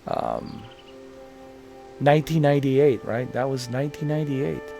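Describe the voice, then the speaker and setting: A man in his mid-forties talks casually to his channel's viewers, circling back and repeating himself, with plenty of "um" and "you know." His voice sits at an ordinary middle pitch. Soft music plays quietly behind him. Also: steady tone, measured pace, vocal fry. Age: 40s. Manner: rambling, repeats phrases, many fillers. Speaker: gaming channel host. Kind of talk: casual talk to camera.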